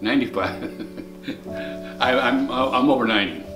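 An elderly man in his eighties who speaks haltingly, pausing and correcting himself. He sounds distant and echoey, with guitar music playing clearly underneath. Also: mid-range voice. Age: 80s. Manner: hesitant, halting recollection. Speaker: interviewee. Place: living room, seated.

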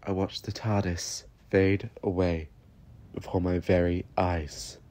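A man narrating a scripted first-person story solemnly, with dramatic pauses. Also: deep voice, flat delivery, dark tone.

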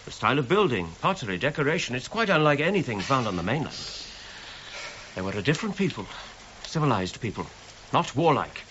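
A proud, earnest gentleman scholar in a period radio play. His mid-range voice moves expressively through clipped, educated phrases with a possessive edge. The recording is dull, with some noise behind it. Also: mid-range voice, very animated, a little fast. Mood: fervent, certain. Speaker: actor in a radio drama. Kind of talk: period radio drama acting.

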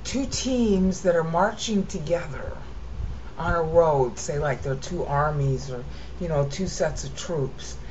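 American woman, low voice, casual Bible teacher, half explains, half chants; muffled noisy computer-mic recording. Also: a little slow. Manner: explains then chants text in rhythmic cadence. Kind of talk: screen-share lesson with chanted reading. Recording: dull lo-fi audio with steady noise.

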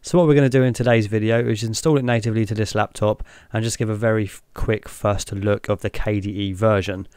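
A young man hosting a tech channel, talking through each step in a relaxed way as he clicks through an install on screen. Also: lowish voice, quick pace, few pauses, clear, resonant tone, dry recording. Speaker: tech video host. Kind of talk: screen-recorded walkthrough narration.

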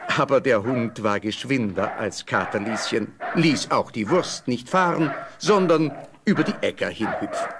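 A man narrating a fairy tale from a script as an expressive storyteller. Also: medium pitch, measured pace, background noise.

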